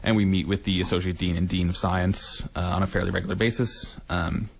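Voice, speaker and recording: A man's voice: low voice, fairly even intonation, fairly quick, vocal fry. A young man, a student leader, answering interview questions earnestly with many ums. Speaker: student union director-elect, guest.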